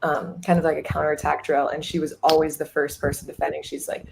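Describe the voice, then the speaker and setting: A woman's voice: low voice, completely flat delivery, fast, creaky, background noise. A woman in her twenties, a college athlete, chatting upbeat in a podcast interview with many fillers. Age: 20s. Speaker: guest, college goalkeeper.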